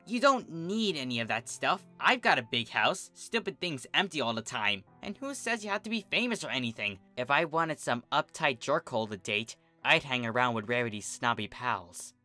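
A man voicing a brash, excitable, insecure tomboy pony in a fan story reading. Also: mid-range voice, normal speed, few pauses, faint music behind. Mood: earnest, boastful. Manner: cocky yet vulnerable, excitable.

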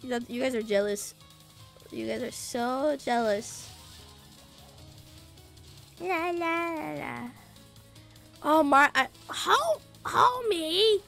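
A raspy, under-the-weather young woman chats through a game stream in a high voice, taking her time over her words. She hums little la-la tunes, curses at her keyboard and teases her chat. Faint game music plays underneath. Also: lively intonation, takes it slowly, pauses often, a bit bright. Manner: sings la-la to herself, swears, jokes with chat. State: sick, raspy voice. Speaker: VTuber streamer.

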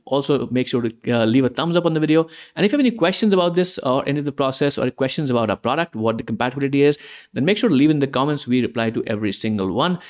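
A young man whose first language is Hindi walks viewers through a screen-recorded software tutorial. He talks casually and explains as he goes, often pausing with fillers and restarting sentences, in a voice of ordinary pitch.

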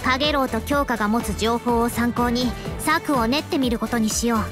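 High-voiced, shrewd young strategist, acted by a woman. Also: brisk, over music, lightly acted. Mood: confident, shrewd. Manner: confident, mannish phrasing. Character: shrewd, boyish-talking young female strategist. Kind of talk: Japanese video game voice acting.

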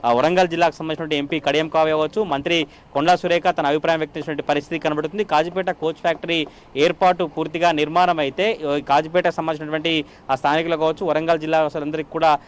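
Fast, crisp-voiced male news reporter. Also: a little high, level tone. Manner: fast continuous delivery with frequent "aa" fillers; formal news phrasing. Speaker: field reporter. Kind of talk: live news report from the field.

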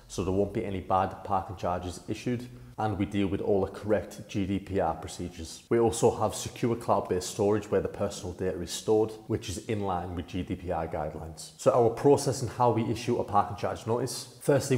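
A man's voice: fairly low pitch, mellow. A young man presenting a business service in a plain, practical, informal way over slides. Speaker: company presenter. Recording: echoey room with long reverb.

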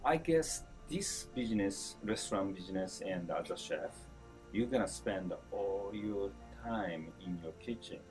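A man in his fifties from Japan with a Japanese accent, answering interview questions in broken English with chuckles and lively gestures. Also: low voice, measured pace, faint music behind. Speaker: chef being interviewed. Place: indoor store event, seated before a branded backdrop.

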